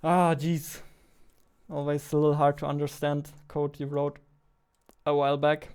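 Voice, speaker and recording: A man in his twenties, a game developer, casually thinking aloud in a halting mutter as he works at his desk with a headset on. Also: a little high, flat delivery, a little mellow, clean tone. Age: 20s. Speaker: game developer, host of a devlog. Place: indoors at a desk.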